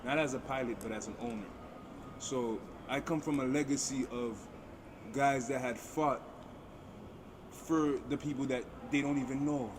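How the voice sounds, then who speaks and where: A man's voice: mid-range voice, fairly even intonation, moderate pace. A middle-aged man from Haiti speaking as a panelist in an emphatic, motivational way, like a personal testimony. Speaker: aviation dispatcher, panelist. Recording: noisy busy venue, vehicle-like ambient noise. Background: loud ambient venue noise.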